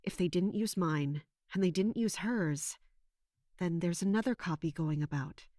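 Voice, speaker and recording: An actress playing a determined, polite young woman investigator, narrating and speaking in a measured, earnest way in an audio drama. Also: fairly low pitch, mellow, no echo, studio quality, natural voice. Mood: pensive, suspicious.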